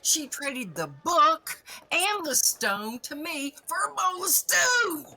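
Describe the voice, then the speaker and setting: Bossy, bright-voiced underworld boss. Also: female voice, sits high, very animated, full character voice. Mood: stern, scolding. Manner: authoritative, deliberate, scolding. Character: bossy, exasperated female chief of underworld soul trackers. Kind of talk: scripted audio drama acting.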